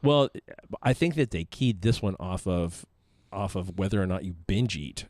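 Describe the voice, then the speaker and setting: A man co-hosting a podcast, chatting casually and haltingly with fillers and restarts. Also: sits low, expressive, darkish tone, no echo. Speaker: podcast co-host. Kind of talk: casual chat.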